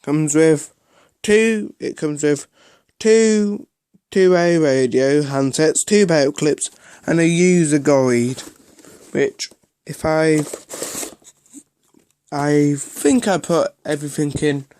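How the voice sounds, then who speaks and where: Slow-talking man, halting delivery. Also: fairly high pitch, darkish tone, clean tone, no echo. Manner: halting, repeats himself. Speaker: amateur product reviewer. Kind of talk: casual unscripted gadget review.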